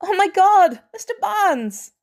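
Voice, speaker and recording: Woman voicing an excitable, high-pitched teenage superhero boy. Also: takes it slowly, full character voice. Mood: shocked.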